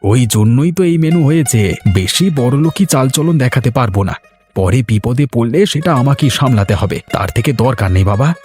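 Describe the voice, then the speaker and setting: A man voicing an excitable, proud middle-aged village father in a moral-story cartoon, with a folksy, exclamatory manner. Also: medium pitch, moderate pace, faint music behind, natural voice. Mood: friendly, earnest.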